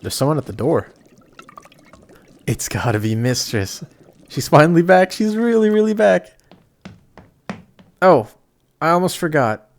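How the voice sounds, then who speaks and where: A young man playing a kindhearted apprentice sorcerer in an audio roleplay, chatty, excitable and easily flustered, with some stammering. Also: a little high, measured pace, pauses often. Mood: excited. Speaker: voice actor. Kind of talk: amateur audio roleplay acting addressed to the listener.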